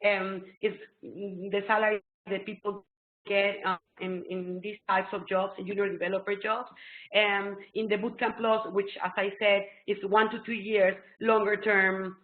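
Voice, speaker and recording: A middle-aged woman with a Mexican Spanish accent presents a webinar from a small room, her Spanish roots clear in her English. She explains eagerly, with plenty of ums. The sound is thin and phone-like, with a roomy echo. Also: mid-range voice, unhurried. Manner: excitable, explanatory, full of ums. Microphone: laptop webcam video call. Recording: tinny phone-like echoey webcam call.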